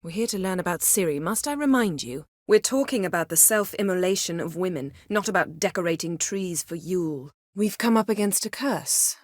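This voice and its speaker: An actress playing a proud, sharp-tongued sorceress in a fantasy video game, speaking in a haughty, sardonic, clipped and articulate way. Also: female voice, lowish voice, expressive, brisk, few pauses, no echo, clean studio sound. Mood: stern, urgent.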